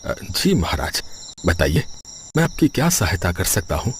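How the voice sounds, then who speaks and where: A man's voice: deep voice, sing-song, moderate pace, a little hiss or hum. A man playing a poor, humble Brahmin in a cartoon story, bewildered and pleading in a hesitant, deferential way. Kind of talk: Hindi moral cartoon story acting.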